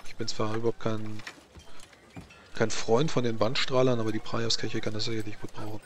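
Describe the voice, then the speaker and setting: A man improvising narration as game master of a tabletop roleplaying game, haltingly searching for words. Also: lowish voice, flat delivery, a little hiss or hum.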